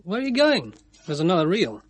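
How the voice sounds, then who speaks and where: An actor playing a keen, matter-of-fact British junior police detective in a 1970s radio drama. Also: male voice, high voice, wildly swooping pitch, brisk, breathy edge, heavily acted.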